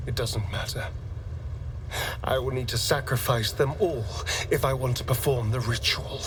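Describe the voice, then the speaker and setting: A man's voice: mid-range voice, slight background noise, lightly acted. A man voicing a bitter, mocking vampire spawn seeking revenge on his master. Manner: sardonic, slow, with sneering outbursts. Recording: game audio from a distance, music underneath.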